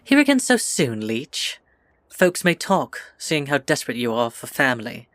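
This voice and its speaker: Snotty teen village bully, pompous old-timey taunts, dry close sound, period audio drama. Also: male voice, medium pitch, big pitch swings, normal speed, fairly bright tone, dry recording. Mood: contemptuous. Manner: haughty, taunting, mock-pompous archaic speech. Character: sneering, entitled sixteen-year-old village bully boy.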